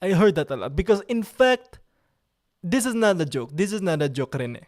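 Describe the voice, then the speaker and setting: A man's voice: high-pitched, lively intonation, normal speed, darkish tone. A man with an Italian accent, hosting a casual live stream from his computer, playful and joking and repeating himself. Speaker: livestream host.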